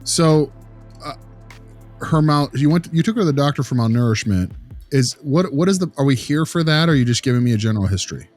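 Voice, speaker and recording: A man's voice: mid-range voice, expressive, fairly quick, darkish tone, faint music behind. A man in a conversational case review, speaking as a consultant who asks probing, clarifying questions.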